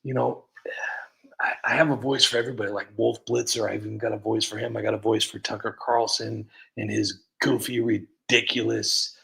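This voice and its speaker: A man's voice: fairly low pitch, little pitch movement, moderate pace, gravelly, sharp tone. A man hosting a comedy livestream, chatting casually and rambling with lots of fillers and restarts. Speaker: comedy channel host.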